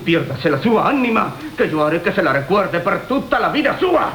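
A man playing an indignant, theatrical Italian gentleman with an Italian accent in a classical radio comedy. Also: sits high, sing-song, fairly quick, exaggerated voice. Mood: outraged. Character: excitable cheated Italian gentleman suitor. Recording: roomy, hissy 1980 radio broadcast.

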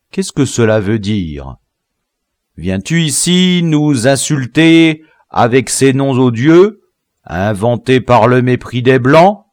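A man's voice: fairly low pitch, no echo, studio quality, heavily acted. A man voicing an imperious rebel general in an audiobook, haughty and severe, cruelly toying with his captives. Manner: haughty, severe, impatient, emphatic.

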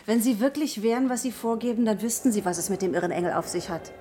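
A woman's voice: medium pitch, quick pace, warm tone, faint music behind, natural voice. A woman playing a flight school assistant in a radio drama, clipped, stern and suspicious. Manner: clipped, stern, matter-of-fact. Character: curt, suspicious female flight instructor's assistant.

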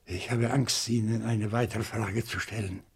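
An actor playing an agitated, accusing professor who questions deliberately and dramatically in a German radio crime drama. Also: male voice, lowish voice, steady tone, breathy edge. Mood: accusing, fearful.

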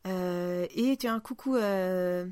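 A woman's voice: fairly low pitch, takes it slowly, darkish tone, dry recording. A woman hosting a podcast in a casual, informal and playful conversational style. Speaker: podcast host.

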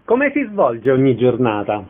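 A man's voice: medium pitch, huge pitch swings, measured pace. A man hosting a radio interview, introducing the guest and putting short, concise questions. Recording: narrow phone-like band, faint background noise.

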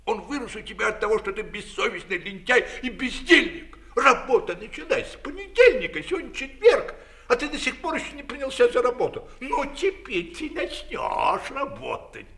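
A man's voice: very high voice, big pitch swings, normal speed, bright, forceful tone, pitched above the performer's own voice, full character voice. A man voicing a lordly djinn of the desert in a fairy tale, sly, mock-polite and scolding. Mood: gloating, stern.

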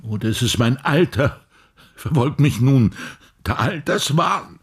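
Slow, husky elderly professor. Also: male voice, mid-range voice, animated. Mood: agitated, indignant. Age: older. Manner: deliberate, professorial, rhetorical. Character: elderly German science professor, evasive, philosophical, testy. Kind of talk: radio play acting.

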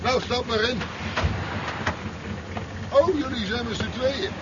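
An actor playing a gruff, nosy, sceptical truck driver in a 1970s Dutch radio play, speaking in a wry, casual, probing way. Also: male voice, very high voice, slow pace. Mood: gruff, welcoming. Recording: dull, very noisy 1970s radio drama recording.

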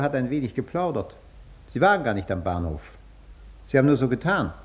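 Schauspieler als süffisanter Herr mit bewegter Satzmelodie. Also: male voice, mid-range voice, darkish tone, lightly acted. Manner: polite, probing, mocking. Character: suave, sardonic, accusing gentleman interrogator. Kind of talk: German radio drama acting.

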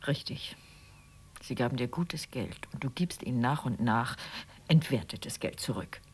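A stubborn wife in a radio play. She has a remarkably deep, husky woman's voice and answers in a matter-of-fact, reproachful tone. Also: expressive, a little slow, whispered, exaggerated voice. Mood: irritated. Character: stubborn, reproachful wife, a frustrated would-be writer. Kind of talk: radio drama acting.

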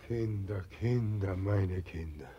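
Brief: a weeping old god nobody believes in, slow, husky and gravelly, dull old radio recording. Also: male voice, low-pitched, warm tone, full character voice. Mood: grieving. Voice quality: tearful, lamenting. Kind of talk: 1940s radio drama acting.

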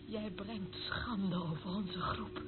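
An actress playing a haughty, prim, morally outraged general's daughter in a Dutch radio drama, speaking reproachfully. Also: female voice, medium pitch, fairly even intonation, slow, close to an everyday voice. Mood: indignant. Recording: tinny, narrow-band old radio recording, faint noise.

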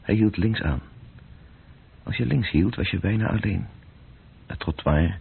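A man playing a sober, detached storyteller in a radio play, narrating in terse, measured phrases with a husky voice. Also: low voice, expressive, full character voice. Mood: sober, observant. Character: sober male storyteller, detached observer of city evening. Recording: muffled phone-like old radio recording.

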